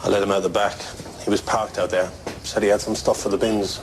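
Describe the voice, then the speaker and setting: Man playing terse brit barman, low even voice, offhand, audio drama. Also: fairly quick, a little hiss or hum, lightly acted, poor audio. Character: laconic, unbothered male pub bartender.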